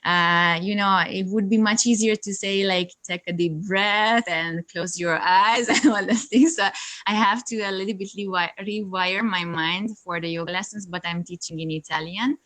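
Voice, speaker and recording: A woman's voice: mid-range voice, fairly even intonation, moderate pace, fairly bright tone. A woman whose first language is Hungarian, chatting in an interview about her yoga teaching, hesitant with many fillers and frequent laughs, on a video call. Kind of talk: casual interview chat.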